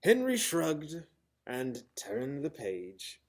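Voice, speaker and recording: A man reading a children's storybook aloud in a theatrical, expressive style. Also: a little high, extremely slow. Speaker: storybook reader. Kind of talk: dramatic children's storybook narration.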